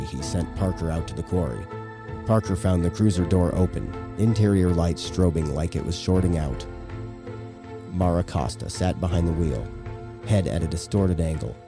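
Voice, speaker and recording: A man with a deep voice narrates a scripted horror tale for an audio drama. Loud music plays behind his reading throughout. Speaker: podcast producer and drama narrator.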